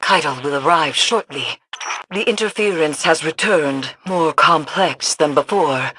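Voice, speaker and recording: An actress playing a steadfast, watchful mystical advisor in a video game, speaking in a grave, measured, cryptic way. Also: female voice, deep voice, background noise. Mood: ominous. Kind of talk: video game dramatic acting.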